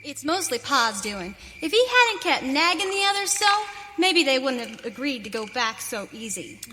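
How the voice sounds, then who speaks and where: A woman's voice: high voice, sing-song, normal speed, pushed and bright, exaggerated voice. A woman playing an eager, easily flustered young pioneer daughter in a western radio play. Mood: fretful. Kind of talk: live western radio theater acting. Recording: hall reverb, clear background noise.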